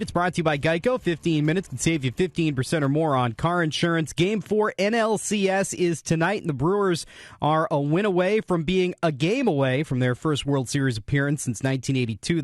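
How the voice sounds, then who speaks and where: A man's voice: fairly high pitch, dry recording. A man reading a scripted radio sports update with sponsor lines from a studio.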